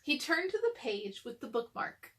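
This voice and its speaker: A woman's voice: high voice, big pitch swings, moderate pace, fairly bright tone, a little hiss or hum. A woman hosting a children's read-aloud, narrating a storybook expressively.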